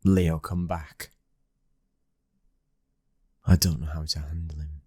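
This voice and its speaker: Very deep, husky British actor as mafia heir. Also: male voice, level tone, slow pace, darkish tone, heavily acted. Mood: commanding, angry, then worried. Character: commanding mafia boss's son, stern but loving husband. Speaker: ASMR roleplay voice actor. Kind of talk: intimate ASMR boyfriend roleplay acting.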